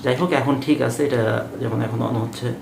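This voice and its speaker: A man's voice: medium pitch, fairly even intonation, fast talker. Bangladeshi man vlogging in an echoey, noisy room. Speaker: motorbike vlogger. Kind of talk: casual vlog talk to viewers. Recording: roomy echo, clearly noisy background.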